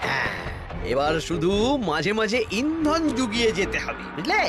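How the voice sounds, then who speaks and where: A woman's voice: medium pitch, very animated, normal speed, over music, lightly acted. A woman voicing a crafty, plotting husband in a cartoon, with a sly, conspiratorial manner. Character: crafty plotting husband scheming against a rival. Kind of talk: cartoon voice acting.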